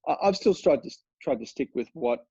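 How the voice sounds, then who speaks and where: A man's voice: medium pitch, animated, brisk, darkish tone, breathy edge, dry recording, muffled recording. An Australian man, a golf coach, talking casually in an interview, rambling with lots of fillers and restarts.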